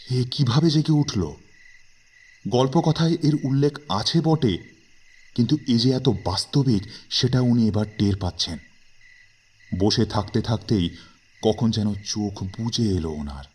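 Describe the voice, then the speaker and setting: Low-voiced man narrating horror with suspense. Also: a little mellow, husky. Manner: suspenseful, theatrical, measured phrasing. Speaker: story narrator. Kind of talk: dramatic horror story narration. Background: background music.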